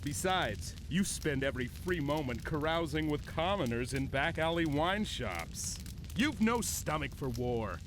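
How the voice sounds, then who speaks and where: An actor playing an arrogant, scornful firstborn prince in a video game, haughty and sarcastic. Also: male voice, a little high, very animated, a little slow, noisy background. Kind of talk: video game cutscene acting.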